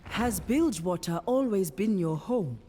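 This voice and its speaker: A woman playing a wise, commanding priestess in a fantasy game, speaking in a solemn, measured way. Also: medium pitch, expressive, warm tone, husky. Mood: solemn, assured. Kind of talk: cinematic fantasy game acting. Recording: long hall-like reverb.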